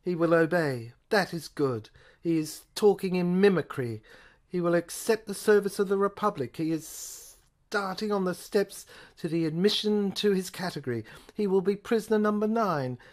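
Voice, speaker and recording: A woman's voice: lowish voice, normal speed, darkish tone, lightly acted. A woman voicing a commanding male chief detective of a tyrannical state in an audio play, declaiming in clipped orders. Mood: cold, triumphant.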